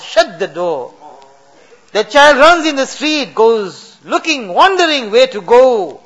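A man's voice: high-pitched, fairly bright tone, lo-fi sound. A man leading an emotional supplication in a mosque, pleading and rising to impassioned cries.

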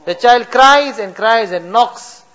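A man's voice: very high voice, slow, a bit bright, highs cut off. A man leading an emotional supplication in a mosque, pleading and rising to impassioned cries.

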